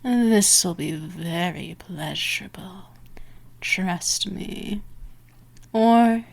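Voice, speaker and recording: Dominant vampire woman, played by an actress, ASMR roleplay. Very drawn-out delivery, heavy vocal fry, taunting and possessive, moving between whisper and command. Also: on the low side, exaggerated voice. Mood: teasing, intimate. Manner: slow, teasing, slipping between whispers and sharp commands.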